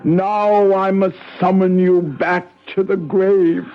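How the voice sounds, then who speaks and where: An actress playing a stern, vengeful older sorceress in a radio drama, with a solemn, incantatory, theatrical delivery. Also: female voice, medium pitch, fairly even intonation, slow. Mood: solemn, pleading incantation. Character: stern, vengeful older woman sorceress, a countess and devoted aunt. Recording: dull lo-fi old radio broadcast.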